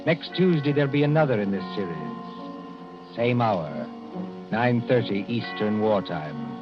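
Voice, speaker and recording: A man announcing formally and deliberately in scripted 1940s radio style, on a muffled old broadcast. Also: lowish voice, animated. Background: prominent music.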